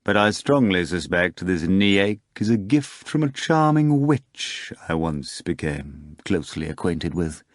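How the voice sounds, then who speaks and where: A man voicing a gruff, commanding devil who speaks tersely and wryly. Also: low-pitched, sing-song, a little slow, hardly any pauses, dry recording, studio quality. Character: commanding, weary devil, old male master of a ball.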